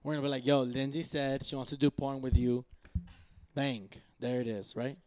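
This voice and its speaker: A man's voice: medium pitch, steady tone, moderate pace, darkish tone. A young man hosting a podcast, talking in loose, slangy, profane banter. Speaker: podcast host.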